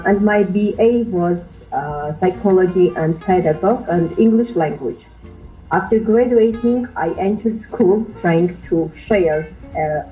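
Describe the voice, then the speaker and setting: A woman in her thirties with an Armenian accent, speaking as a guest teacher on a podcast and often pausing to search for words. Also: on the low side, mellow, muffled recording. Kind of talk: podcast interview.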